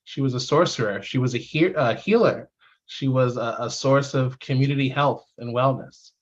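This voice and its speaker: A man's voice: mid-range voice, moderate pace. A man with a mid-range voice shares reflections in a casual panel discussion. He is thoughtful and earnest, and the recording sounds a little dull and lo-fi.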